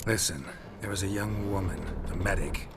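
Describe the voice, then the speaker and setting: An American man voicing a weary, wry monster hunter in a video game, with a gravelly growl and a terse, dry delivery. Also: low voice, moderate pace, very noisy, close to an everyday voice.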